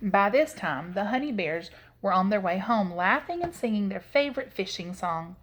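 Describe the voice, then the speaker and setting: A woman storyteller giving an expressive read-aloud narration of a children's story. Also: medium pitch, normal speed, background noise.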